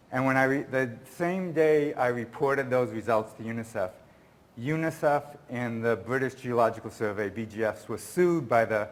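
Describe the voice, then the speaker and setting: A man speaking at a conference, answering an audience question in a rambling, earnest way, often restarting his sentences. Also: on the low side. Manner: rambling, self-correcting, earnest.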